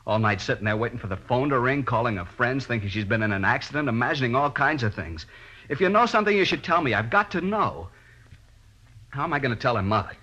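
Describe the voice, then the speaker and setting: A husky, distraught middle-aged American father. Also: medium pitch, animated, pushed and bright. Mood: agitated, pleading. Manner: halting, repetitive, pleading. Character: distraught grieving father of a dead daughter. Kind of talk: 1950s radio drama acting. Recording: dull old radio recording.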